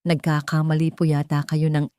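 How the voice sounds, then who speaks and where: A woman's voice: low voice, monotone, normal speed, mellow, clean tone, no echo, studio quality, natural voice. A woman storyteller voicing a defensive, wounded man who speaks in curt, emphatic lines in an audio love story. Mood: polite, confused. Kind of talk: dramatic Tagalog audio story dialogue.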